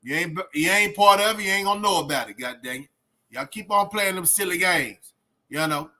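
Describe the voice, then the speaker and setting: A man's voice: high voice, brisk, sharp tone. A man from Texas, hosting a casual livestream in streetwise slang and giving opinions straight to viewers. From Texas, United States. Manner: streetwise slangy talk with frequent "man" and "bro". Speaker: host of a reaction livestream.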